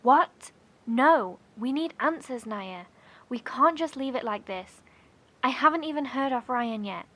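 A woman's voice: sits high, expressive, a little slow, a bit bright, husky, full character voice. An actress playing a concerned, insistent woman survivor in a horror audio drama, speaking earnestly and seriously. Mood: demanding, alarmed.

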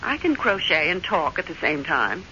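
An actress playing a prim, stubborn American wife in an old-time radio drama, clipped, chiding and quick to take offence. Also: female voice, fairly low pitch, sing-song, pushed and bright. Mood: stubborn, defensive. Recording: muffled, noisy old radio recording.

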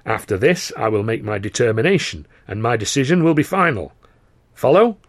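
A man's voice: mid-range voice, sing-song, a little fast, vocal fry, no echo. A man voicing an imperious older theatre manager who is a born showman, theatrical and commanding.

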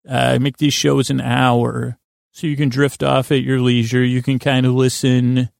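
Creaky-voiced man rambling soothingly. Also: medium pitch, little pitch movement, no room sound, studio quality. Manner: meandering, halting, often trails off and repeats himself; lulling, soothing, whimsical. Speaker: podcast host. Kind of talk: rambling bedtime monologue to lull listeners to sleep.

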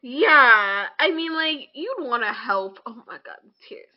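Animated, higher-pitched woman chatting bluntly. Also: slow pace, muffled recording. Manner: blunt, informal, acts out imagined quotes. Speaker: podcast co-host. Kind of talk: casual opinionated chat.

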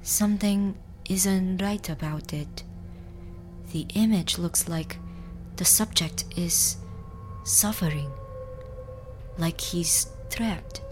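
A woman playing an uneasy, observant detective partner in an audio drama, quiet and measured. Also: on the low side, music in the background. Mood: uneasy, pensive.

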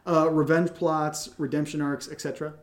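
A man with a somewhat high-pitched voice chats as a podcast co-host. He is informal and unscripted. His delivery is wry, teasing and self-mocking. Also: flat delivery, moderate pace. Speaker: co-host of an audio drama podcast reading listener questions. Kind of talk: casual unscripted Q&A chat.

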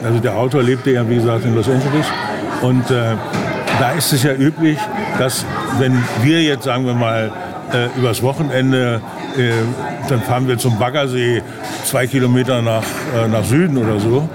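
A man being interviewed in casual conversation, speaking haltingly as he searches for his words. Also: mid-range voice, fairly even intonation, loud music behind the voice. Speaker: interview guest, audio drama director. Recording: busy crowd around the voice. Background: chatter of a crowd.

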